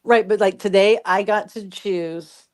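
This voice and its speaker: A woman's voice: medium pitch, fairly even intonation, a little slow, a crowd in the background. Blunt, swearing woman podcast co-host. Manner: blunt, swears, laughs at herself. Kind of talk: casual opinionated chat.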